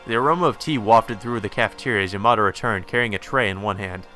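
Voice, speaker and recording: A man's voice: on the low side, fast talker, sharp tone, faint music behind. A man reading visual novel narration aloud as a let's player. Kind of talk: scripted narration with light reactions.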